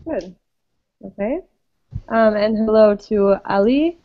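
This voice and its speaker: A woman who teaches English, leading an online group class in a patient, friendly way with many pauses and ums. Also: medium pitch, no room sound. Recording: dull lo-fi web call audio.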